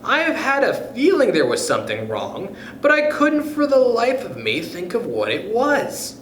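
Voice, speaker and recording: A man's voice: sits high, wildly swooping pitch, normal speed, fairly bright tone, echoey room, a little hiss or hum, voice pushed up, heavily acted. A man voicing a gullible, panicky old wife from a children's storybook, wailing and pleading shrilly. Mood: puzzled.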